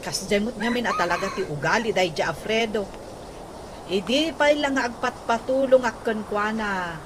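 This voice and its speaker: A woman's voice: medium pitch, moderate pace, noisy background, close to an everyday voice. A woman with an Ilocano accent acting a blunt, scolding grown daughter in a radio comedy drama. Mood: complaining.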